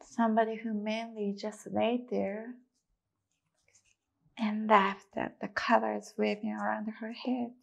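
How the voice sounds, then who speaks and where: A woman acting a despairing, resigned grown daughter in a slow, halting stage monologue, with a husky, breathy voice. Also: mid-range voice, level tone, exaggerated voice. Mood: hushed, wistful. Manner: halting, deliberate, long pauses between short phrases. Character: despairing, resigned adult daughter confronting her mother.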